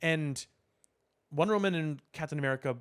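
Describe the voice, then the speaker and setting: A man's voice: fairly high pitch, fairly quick, fairly bright tone, clean tone. A man co-hosting a casual podcast, giving firm opinions and explaining his points at length. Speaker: podcast co-host. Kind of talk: casual pop culture discussion.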